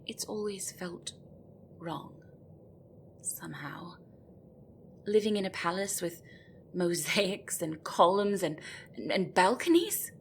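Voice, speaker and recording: A woman's voice: on the low side, expressive, slow, long pauses, a little hiss or hum. A woman playing a timid young griffin in an audio roleplay, anxious, earnest and deferential, with a hesitant, nervous, pleading manner. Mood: nervous deference.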